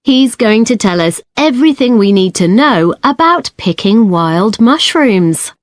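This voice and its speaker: A welcoming host doing a scripted intro, slow, slightly high voice. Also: female voice, animated, no echo. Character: welcoming female host introducing an evening speaker. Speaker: event host. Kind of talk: scripted introduction of a guest speaker.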